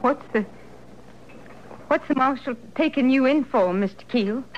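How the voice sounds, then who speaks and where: A woman's voice: high voice, a little slow. An actress playing an earnest, uneasy young frontier woman with an American accent in a radio western, speaking hesitantly and plainly. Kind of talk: 1950s radio western acting. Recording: dull, noisy vintage radio drama recording.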